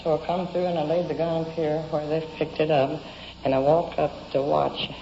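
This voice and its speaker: An American woman giving a halting, plain-spoken witness account under questioning, recorded on location inside a home in the 1950s. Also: deep voice, level tone, dark tone. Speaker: witness giving a statement to police. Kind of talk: unscripted recounting under police questioning. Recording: muffled, noisy 1950s field recording.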